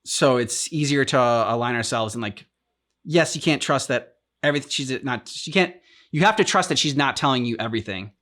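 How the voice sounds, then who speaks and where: A man in a casual discussion, talking as a reaction show host in a halting, rambling way as he thinks aloud. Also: mid-range voice, expressive, a bit bright. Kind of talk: casual discussion of video game story.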